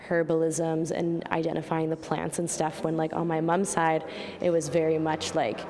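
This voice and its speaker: Creaky, even-toned young woman. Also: low voice, normal speed, long reverb tail. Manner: casual, frequent "like" and "you know". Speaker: artist interviewed. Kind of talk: reflective interview talk about own art.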